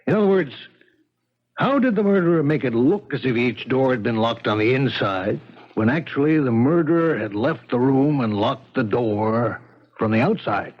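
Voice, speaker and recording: A man performs a modest police detective from 1970s radio drama. His voice is husky and middle-pitched, and he lays things out plainly and casually. The broadcast recording sounds dull and aged. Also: animated, normal speed, natural voice. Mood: matter-of-fact. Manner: casual, matter-of-fact explaining.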